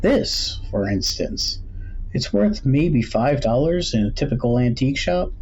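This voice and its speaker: A man voicing a middle-aged male antique shopkeeper in a suspense storybook, mysterious and unsettlingly pleasant, welcoming, measured and cryptic. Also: mid-range voice, very animated, a little mellow, faint music behind, voice pushed up. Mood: musing, storytelling. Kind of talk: storybook character voice in suspense tale. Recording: dull recording with faint background noise.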